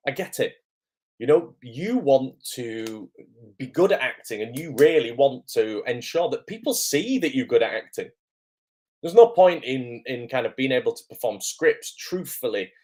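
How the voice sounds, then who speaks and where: A man with a hoarse, croaky voice from illness, hosting a casual livestream as an acting coach, greeting viewers and filling pauses with "um". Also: mid-range voice, a little mellow. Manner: chatty, lots of "um" fillers, greets viewers by name. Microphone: camera microphone.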